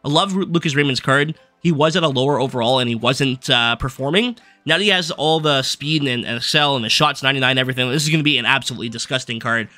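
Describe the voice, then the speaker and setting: Fast-talking young man losing his voice. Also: mid-range voice, sharp tone, no echo, faint music behind. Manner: fast, rambling card-by-card rundown with fillers. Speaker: gaming YouTuber. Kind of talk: casual gameplay commentary. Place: small room at a desk.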